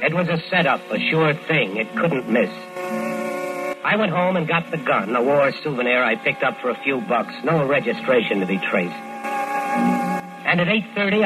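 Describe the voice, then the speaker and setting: An actor in a 1950s radio drama playing a calm, persuasive schemer who narrates his own crime. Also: medium pitch, close to an everyday voice, low-quality recording. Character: smooth-talking scheming man, murderer narrating. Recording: old radio broadcast with music bed.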